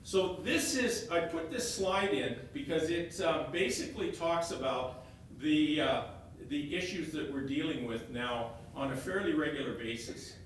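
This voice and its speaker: A man, an elected councillor presenting slides to a meeting, halting and often repeating his words as he restarts phrases. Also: lively intonation. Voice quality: loud voice. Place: meeting room, stepping back from a fixed mic. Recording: very echoey room, heard from a distance, faint rumble.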